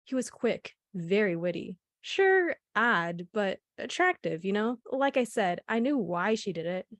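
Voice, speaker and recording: A young woman played by an actress with a mid-pitched, closely recorded voice. She is a gossipy, frank server giving her account in a radio drama. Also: sing-song, unhurried, no echo. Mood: frank, musing. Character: gossipy, frank young female server recalling a regular customer. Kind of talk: radio play witness interview.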